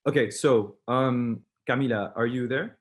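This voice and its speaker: A man's voice: medium pitch, a little slow. Hesitant young man hosting online. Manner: hesitant, many fillers. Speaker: event host and interviewer. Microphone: video call.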